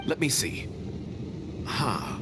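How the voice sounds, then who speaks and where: A man playing a cold, cautious conspirator in a video game, speaking in a measured, condescending way. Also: mid-range voice, very animated, music in the background. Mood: skeptical, disdainful. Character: cold, cautious male foreign conspirator, disdainful and businesslike. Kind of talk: scripted video game voice acting.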